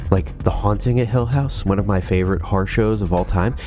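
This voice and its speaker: A man's voice: lowish voice, a little fast, a little mellow, soft background music. Nasal stuffed-up man, chatty. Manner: chatty, sarcastic asides. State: stuffy nose from a sinus cold. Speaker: paranormal channel host. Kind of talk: casual commentary reading ghost stories. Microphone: studio microphone.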